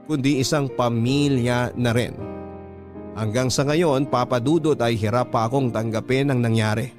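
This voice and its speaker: Filipino man narrating over music. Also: mid-range voice. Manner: solemn, measured storytelling. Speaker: storyteller host reading a listener's letter. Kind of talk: dramatic scripted radio narration. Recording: music bed under the voice.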